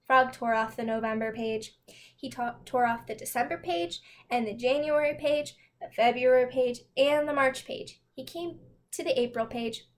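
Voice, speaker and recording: Woman, high-pitched voice, storybook reader, scripted children's narration. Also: normal speed.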